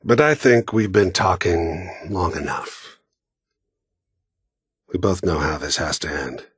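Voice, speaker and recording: A man voicing a cold, world-weary mercenary killer in a video game, gravelly and husky, with a sardonic, deliberate, taunting manner. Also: low-pitched, a bit bright, no echo, studio quality, heavily acted. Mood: wry, menacing.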